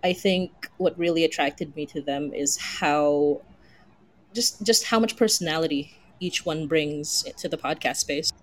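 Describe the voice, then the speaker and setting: A woman's voice: sits low, measured pace, low-quality recording. A woman podcast creator chatting casually as a panel guest, with an enthusiastic, giggly manner.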